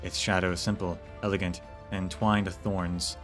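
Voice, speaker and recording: A man streaming and reading a game's story aloud, relaxed, with chatty asides to viewers. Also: low voice, moderate pace, soft background music. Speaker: streamer narrating a game.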